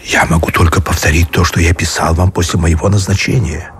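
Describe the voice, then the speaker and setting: An actor playing a loyal, sober and honest officer in a radio drama, speaking in a formal, solemn, courteous way. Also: male voice, low voice, steady tone, brisk, breathy edge, soft background music. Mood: solemn, resolute. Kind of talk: Russian radio drama acting.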